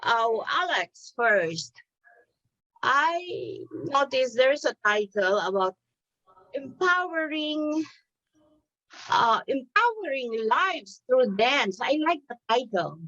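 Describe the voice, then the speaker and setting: A woman hosts a TV interview in an unhurried, chatty manner, stumbling through introductions with ums and restarts. The recording sounds a bit dull and lo-fi. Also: high-pitched, very animated, pushed and bright. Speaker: TV interview host. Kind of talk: interview hosting.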